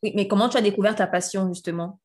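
A woman's voice: mid-range voice, fairly even intonation, fast talker, a little mellow. A woman hosting a podcast, talking in a friendly, chatty way and often repeating words while she looks for the next one. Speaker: podcast host. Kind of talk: casual podcast conversation.

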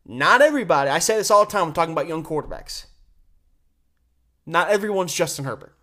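A man hosting a solo sports podcast, giving conversational, opinionated commentary with emphatic stresses and deliberate pauses. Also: a little high, animated, bright, forceful tone.

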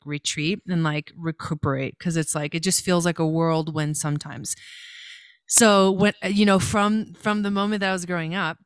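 A woman's voice: fairly low pitch, smooth, no echo. A young woman tells her personal story casually, in a reflective, rambling way. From Los Angeles area, California. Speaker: guest.

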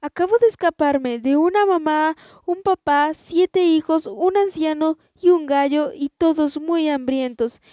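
A woman voicing a frightened, indignant runaway tortilla in a storybook reading, with a high, loud, exclaiming voice. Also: a little slow, no room sound, clean studio sound.

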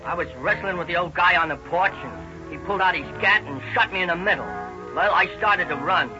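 A male actor plays a rough American gunman in vintage radio crime drama. He is high-pitched, slangy and defensive. The recording is muffled. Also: a little fast, over music, lightly acted. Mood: agitated, defensive. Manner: slangy, agitated. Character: rough male gunman, excitedly excusing his killing.

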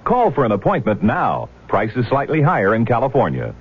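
A man with a husky voice reading a scripted 1970s radio commercial as announcer, on a tinny old recording. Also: mid-range voice, wildly swooping pitch, a little fast.

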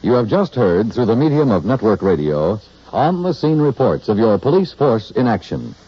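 A man's voice: medium pitch, very animated, normal speed, husky, dry recording. An American man announcing a vintage radio program in a formal, dramatic style. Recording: muffled vintage radio recording.

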